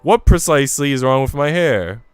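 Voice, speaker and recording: A man's voice: mid-range voice, lively intonation, normal speed, clean tone, dry recording, pitched above the performer's own voice, lightly acted. Man reading as a blunt, prickly young maid. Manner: blunt, defensive. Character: prickly, shy young maid in visual novel. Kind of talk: visual novel read-aloud. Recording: music playing behind the voice.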